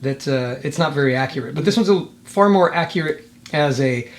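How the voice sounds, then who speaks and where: A man giving a casual hands-on product demonstration, thinking aloud in a halting way. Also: fairly high pitch. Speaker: product reviewer.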